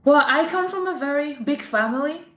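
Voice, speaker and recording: A woman's voice: high voice, animated, normal speed, fairly bright tone, roomy sound. A woman from Cameroon, a marketing executive answering interview questions earnestly, often rephrasing herself mid-sentence.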